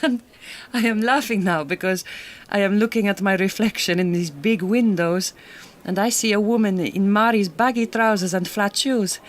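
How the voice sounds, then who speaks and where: A woman's voice: mid-range voice, normal speed, natural voice. A woman playing a resolute, tender Hungarian migrant worker in a radio drama, with a Hungarian accent and careful, formal phrasing. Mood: tender, bittersweet. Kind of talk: naturalistic radio drama acting.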